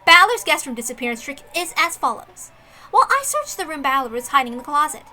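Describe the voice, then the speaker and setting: Casting: woman to play proud young female sleuth for visual novel; high-pitched, piercingly bright, theatrical monologues. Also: sing-song, slight background noise, exaggerated voice. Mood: confident. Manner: theatrical, deliberate, dramatic monologue delivery. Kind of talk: dramatic reading of a visual novel.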